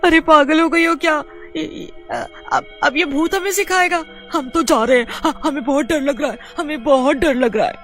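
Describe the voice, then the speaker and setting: A woman voicing a terrified, panicky child in an animated horror story. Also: high voice, moderate pace, few pauses, faint music behind, pitched above the performer's own voice, lightly acted. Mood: panicked. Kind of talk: Hindi animated story acting.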